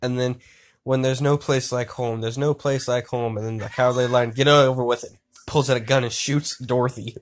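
A man telling an improvised story to friends in a casual, crude and excitable way, repeating himself. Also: mid-range voice, moderate pace. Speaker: amateur storyteller. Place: indoors at home. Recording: noisy amateur handheld recording, clicks.

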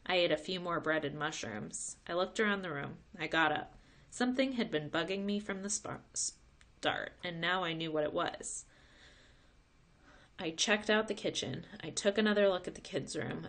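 A woman reads a chapter book aloud for children. Her voice is bright and a little low for a woman, and her delivery is expressive, shaped like storytelling. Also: normal speed. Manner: expressive reading.

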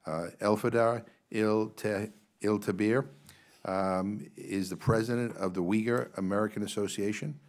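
A low-pitched man in his sixties with a Massachusetts accent reads out speaker introductions at a congressional hearing. He halts often with "uh" and trips over unfamiliar names. He speaks into a desk microphone in a big, formal hearing room. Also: steady tone. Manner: halting, filler-heavy reading, stumbles on names. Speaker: congressional commission co-chair.

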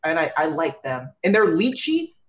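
A woman co-hosting a casual panel chat on a video call, talking in a playful, animated way. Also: low-pitched, moderate pace. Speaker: co-host. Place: room at home.

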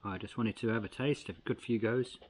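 A man's voice: on the low side, brisk, a little hiss or hum. An older man from Australia, chatting casually as he cooks and tastes camp food at a picnic table in the bush, recorded by a camera across the table. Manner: chatty and relaxed, talks while eating. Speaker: outdoor vlogger. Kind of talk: casual camp-cooking review. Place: outdoors in bushland at a picnic table.

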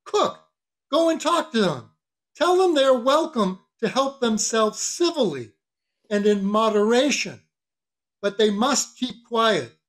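A man voicing a blustering ship's mate who barks orders in a raised, loud, mocking manner. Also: very high voice, very animated, takes it slowly, pitched above the performer's own voice, full character voice. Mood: bossy.

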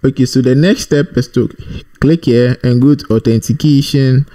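A man's voice: medium pitch, fairly even intonation, mellow. Man thinking aloud through a coding tutorial. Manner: thinking aloud while typing, halting, repeats himself. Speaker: programming tutorial presenter. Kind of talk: informal screencast coding tutorial.

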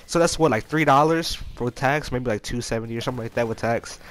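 A man's voice: mid-range voice, quick pace, a bit bright, no room sound. A young American man telling a personal story in a casual, rambling gaming-video voice-over, with slangy, exasperated phrasing. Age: young adult.

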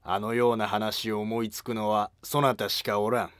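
A man playing a scheming, calculating court noble of ancient Japan, speaking formally in a historical animated drama. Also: lowish voice, moderate pace, clean tone, dry recording, clean studio sound, voice pushed down, lightly acted. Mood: sly, commanding.

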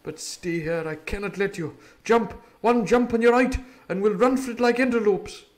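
A man voicing a stern but kindly older ship's doctor in a storybook reading, scolding in an old-fashioned gentlemanly manner. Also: fairly high pitch, a little slow, voice pushed up, close to an everyday voice. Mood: urgent.

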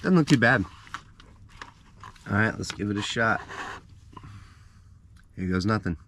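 A man in his thirties casually narrating a camp breakfast to the camera from inside a parked van, chatty and relaxed. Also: on the low side, a little slow, slight background noise. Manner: relaxed, chatty, walks through each step. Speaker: travel vlogger. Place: inside a parked van, small enclosed space. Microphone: camera mounted in the vehicle.

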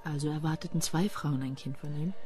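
A woman's voice: low voice, fairly even intonation, fairly quick, mellow, faint music behind, lightly acted. An actress playing a terse, sardonic female investigator in a crime radio drama. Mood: dry, businesslike. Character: brisk, sardonic female investigator named Katja.

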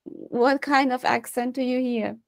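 A woman in her forties speaks English with a Hungarian accent, her first language being Hungarian. Her voice is high, and she often stops and restarts her sentences. The sound through her earphone mic is dry and close. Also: fairly even intonation, a little slow, creaky, no echo. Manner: hesitant, restarting, curious, laughing lightly. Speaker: meeting moderator. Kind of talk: casual chat on a video call. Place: small room at home, indoors. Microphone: earphones with a cable mic on a video call.

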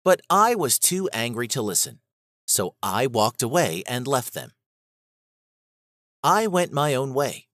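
A man reading a scripted children's story aloud as narrator, in an expressive manner. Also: medium pitch, measured pace, long pauses, no echo, clean studio sound.

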